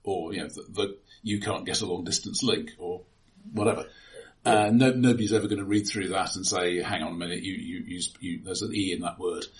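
A man discussing films casually and analytically, in a measured, considered way with halting pauses. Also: deep voice, fairly even intonation, low-quality recording. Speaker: film podcast host.

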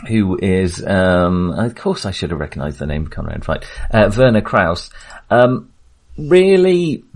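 A man co-hosting a podcast, discussing film casually in a halting, think-aloud way. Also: fairly low pitch, darkish tone. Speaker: podcast co-host.

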